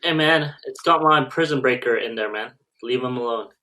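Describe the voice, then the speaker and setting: A man's voice: medium pitch, normal speed, bright, forceful tone, poor audio. A man co-hosting a podcast, chatting casually in a slangy, irreverent way. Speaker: podcast co-host. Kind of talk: casual chat.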